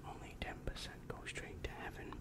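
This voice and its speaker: A young man playing a calm, reassuring demon guidance counselor in an ASMR roleplay, whispering breathily and patiently explaining, close to the microphone. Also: natural voice. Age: young adult. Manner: slow, soothing, patient explaining. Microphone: close-up microphone with foam windscreen.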